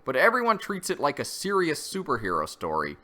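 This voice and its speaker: A man's voice: a little high, huge pitch swings, fairly quick, sharp tone. A man giving an opinionated, conversational review commentary, critical and semi-scripted. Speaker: host of a comic review channel.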